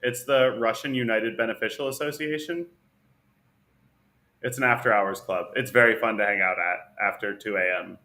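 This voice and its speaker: A man co-hosting a casual podcast, laid-back and quick to laugh. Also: on the low side, steady tone, bright, forceful tone. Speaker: podcast co-host.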